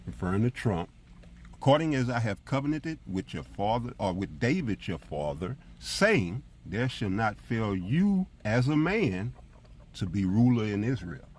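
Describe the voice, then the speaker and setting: A man with a husky voice teaching scripture as a preacher, in an emphatic, colloquial style full of rhetorical check-ins. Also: on the low side, big pitch swings, measured pace, darkish tone, a little hiss or hum. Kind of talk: fiery informal Bible teaching with commentary on scripture readings.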